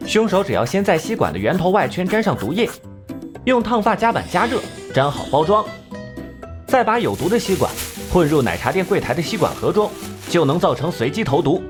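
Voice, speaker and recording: A man playing a composed, sharp-tongued genius detective in an animated crime drama, measured and condescending. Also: high voice, over music. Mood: coolly explaining.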